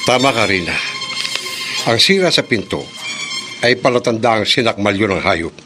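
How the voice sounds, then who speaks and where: An actor playing a grim, resolute male scientist in a radio drama, measured and formal. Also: lowish voice, animated, music in the background, lightly acted. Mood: grave.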